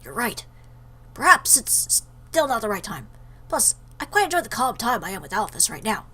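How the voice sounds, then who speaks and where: Woman acting a brash fish warrior, bright voice. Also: fairly high pitch, big pitch swings, normal speed, slightly rough, exaggerated voice. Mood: relieved, earnest. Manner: blunt, brash. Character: fierce brash female fish warrior, awkward about love.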